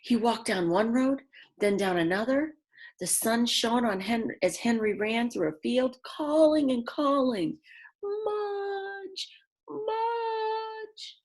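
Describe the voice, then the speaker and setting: A woman's voice: a little high, lively intonation. A woman reading a picture book aloud to young children, expressively and simply, with chatty asides to her listeners. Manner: slow, simple phrasing with dramatic emphasis and chatty asides. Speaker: children's read-aloud storyteller.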